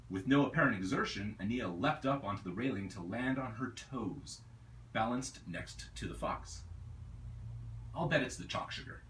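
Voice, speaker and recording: A man reading his own novel aloud as a storyteller, in a deliberate, expressive narrating style. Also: fairly low pitch. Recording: roomy echo, distant mic, faint noise.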